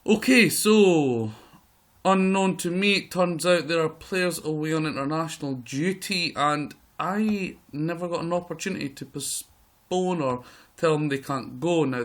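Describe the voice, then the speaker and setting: A man with a croaky voice giving excitable live play-by-play commentary over a football management game. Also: a little high, measured pace. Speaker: gaming video host.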